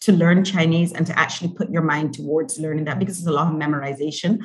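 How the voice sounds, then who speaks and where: Woman, forties, notably low voice, podcast guest talking conversationally about her own experiences. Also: steady tone, fast. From Barbados. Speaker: podcast guest, corporate event organizer. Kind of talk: conversational personal account.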